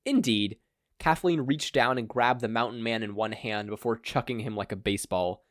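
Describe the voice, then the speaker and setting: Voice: medium pitch, normal speed, no echo, studio quality. A narrator reading a scripted fan fiction story aloud. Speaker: podfic narrator.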